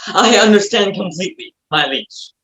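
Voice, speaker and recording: A man playing an eager, dim-witted, flattering manservant with a deferential, hesitant manner, in a comic stage play reading. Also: high voice, normal speed, rough recording.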